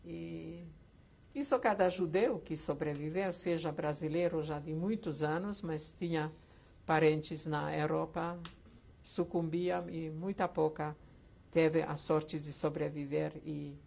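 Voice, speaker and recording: An older woman from Czechoslovakia, once a camp survivor, telling her life story slowly with hesitant pauses in an oral history interview. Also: sits low, steady tone, creaky, a little mellow. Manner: slow reflective storytelling with hesitant fillers.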